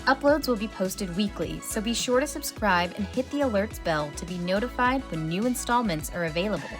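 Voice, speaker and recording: A woman reading scripted fiction as an audiobook narrator. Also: medium pitch.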